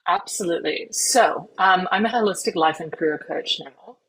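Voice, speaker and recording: A woman's voice: lowish voice, moderate pace, a bit bright, slightly rough, rough recording. A woman in her forties from Singapore with a hard-to-place international accent, talking earnestly as a coach guest on a podcast, with many fillers and restarts. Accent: hard-to-place international English. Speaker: guest, life and career coach.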